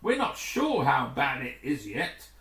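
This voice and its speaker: A sober, careful commander read by a male storyteller briefs his team with measured authority, pausing as he weighs things up. The voice has lively intonation and sits in an echoey room. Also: medium pitch, recorded from a distance. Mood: grave. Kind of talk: acted adventure story dialogue. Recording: echoey, roomy home recording.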